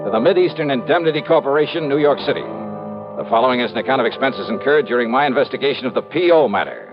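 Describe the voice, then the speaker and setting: An American actor playing a confident, wry insurance investigator in 1950s radio style, clipped and questioning. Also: male voice, medium pitch, animated, fast talker, lightly acted. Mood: brisk, businesslike. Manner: clipped, hard-boiled, questioning. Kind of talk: 1950s radio detective acting and narration. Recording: dull old radio recording with music.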